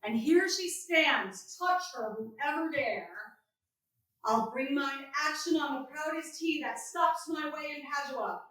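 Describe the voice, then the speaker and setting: A woman playing a brash, domineering bridegroom in a staged reading of Shakespearean comedy, declaiming forcefully. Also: sits high, slow. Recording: very echoey room from far off.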